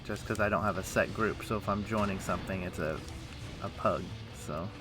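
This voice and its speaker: A man with a fairly low voice talks casually through a game livestream. He rambles and thinks aloud as he plays, with game music clearly audible behind him. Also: brisk. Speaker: game streamer. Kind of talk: casual livestream gameplay chat. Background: video game music.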